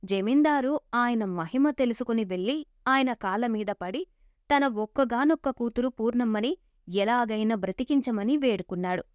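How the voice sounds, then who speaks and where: A woman's voice: fairly high pitch, moderate pace, no echo. A woman narrating a scripted moral story as a storyteller. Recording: dull lo-fi sound.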